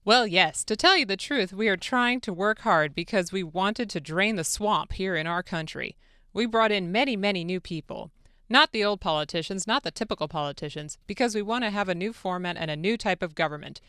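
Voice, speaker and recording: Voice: medium pitch, animated, brisk, pushed and bright, smooth, dry recording, clean studio sound. A radio producer doing a dramatic reading as an eager, deferential male foreign president, with emphatic, earnest delivery. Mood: eager flattery. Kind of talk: dramatic reading of a phone-call transcript.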